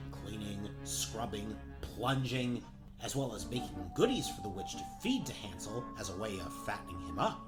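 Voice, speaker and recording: A narrator giving wry, tongue-in-cheek, theatrical scripted storybook narration for a comedy. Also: medium pitch.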